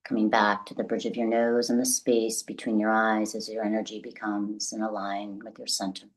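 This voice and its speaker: A woman leading a guided meditation as the guide, speaking gently and deliberately in a soothing, instructional way with long pauses. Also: very deep voice, little pitch movement, low-quality recording.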